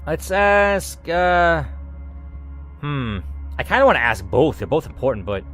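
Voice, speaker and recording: A man's voice: a little high, big pitch swings, measured pace, fairly bright tone. A man reading a game's story aloud expressively, mixing in casual commentary as the host. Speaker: gamer, channel host. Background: music.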